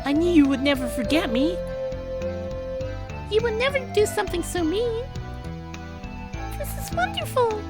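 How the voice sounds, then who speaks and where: A lovestruck schoolgirl from a visual novel. The voice leaps far up into a squeaky, girlish falsetto. She clings to every phrase, gushing and pleading. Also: big pitch swings, moderate pace, pauses often, loud music behind the voice. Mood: gushing, elated. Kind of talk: visual novel character read aloud.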